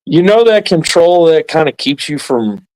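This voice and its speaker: A man in casual chat, talking in a calm, explanatory, laid-back way. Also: a little high, normal speed, low-quality recording.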